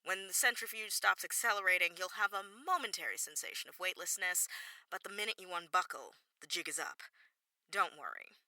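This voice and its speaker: A woman's voice: fairly low pitch, animated, fairly quick, sharp tone, poor audio. An actress playing a brash, playful woman tech showing off her work in an audio drama. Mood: confident.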